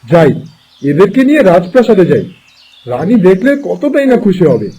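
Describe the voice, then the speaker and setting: A man voicing a tender, excitable king in a cartoon fairy tale, speaking in a theatrical, wondering way. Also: high voice, moderate pace, a little hiss or hum. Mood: awed, joyful.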